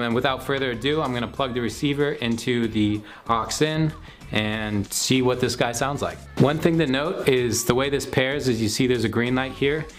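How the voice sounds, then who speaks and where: A young man giving a casual, conversational product review to camera in a small home studio room, picked up by a camera microphone. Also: mid-range voice. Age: young adult. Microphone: camera microphone at about arm's length or a little more.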